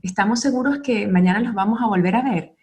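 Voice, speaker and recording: A middle-aged woman from Venezuela speaks earnestly as a radio guest, reflecting aloud and pausing to weigh her words. Her tone is thoughtful and sincere. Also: mid-range voice, darkish tone. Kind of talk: reflective interview talk.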